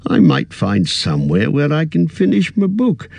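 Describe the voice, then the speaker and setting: A man reading aloud, voicing a fussy, aging male hobbit in a high, quavering, petulant voice that grows possessive. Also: wildly swooping pitch, normal speed, creaky, a little mellow, dry recording, full character voice. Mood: wistful.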